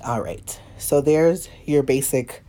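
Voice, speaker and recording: A woman's voice: sits low, slow, warm tone. A woman with a stuffy, sniffly nose giving a casual live painting tutorial, chatty and laughing often as she greets viewers. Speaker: watercolor painting instructor.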